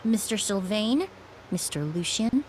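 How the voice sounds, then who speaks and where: A woman playing a composed, polite young investigator in an anime video game, speaking in a measured, precise and gently firm manner. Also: medium pitch, lively intonation, slight background noise, lightly acted.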